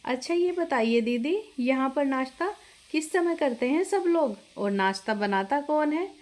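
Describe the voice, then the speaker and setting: A woman's voice: high-pitched, expressive, a little slow, a little mellow. A woman voicing a polite young newlywed daughter-in-law who is eager to help, in a family story. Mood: curious, helpful. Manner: polite, obliging.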